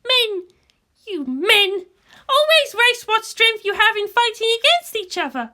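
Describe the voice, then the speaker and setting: Headstrong girl, for a story reading: piercingly high, animated, declamatory, utterly convinced. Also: a little slow, exaggerated voice. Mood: scornful. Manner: emphatic, declamatory. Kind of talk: fan fiction audiobook character voice.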